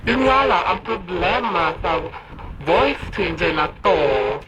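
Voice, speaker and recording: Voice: mid-range voice, animated, takes it slowly. A Filipino vlogger chatting playfully and theatrically through a voice-changer helmet filter. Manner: campy, theatrical slang-filled delivery. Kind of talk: casual playful vlog chat. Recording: loud background noise, electric fan nearby.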